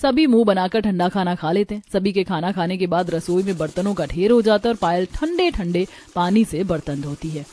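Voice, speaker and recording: A woman's voice: fairly low pitch, animated, a little fast, few pauses, dark tone, faint music behind. A woman narrating a scripted moral story as a storyteller.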